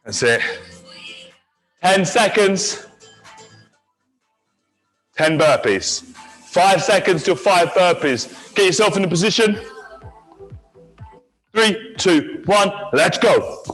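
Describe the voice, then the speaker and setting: A man leads a high-intensity workout as its instructor. His voice sits a little high for a man. He mixes encouragement with countdowns and clipped commands, with music playing softly behind him. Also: expressive, slow, pauses often, poor audio. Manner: encouraging, counting down, barking short cues. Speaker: fitness instructor. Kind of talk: leading a live HIIT workout class.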